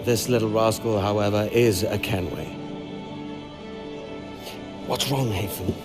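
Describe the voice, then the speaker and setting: A man's voice: on the low side, unhurried, natural voice. An actor playing a father with dry humor and affection, in a video game cutscene. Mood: wry. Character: proud, playful father at a posh event. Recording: clear music behind.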